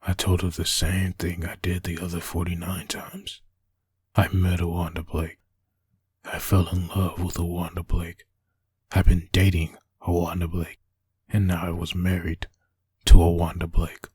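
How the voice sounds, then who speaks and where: A man playing a brooding, lovesick husband haunted by memories, in a husky voice for a dramatic comic dub. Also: deep voice, little pitch movement, a little slow, dry recording, heavily acted. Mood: brooding, resigned. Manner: brooding inner monologue.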